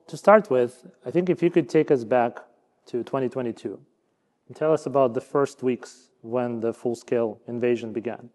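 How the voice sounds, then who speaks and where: A man born in Ukraine and raised in Canada, moderating a panel on a conference stage with smooth, prepared introductions. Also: medium pitch, a little mellow. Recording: long hall reverb.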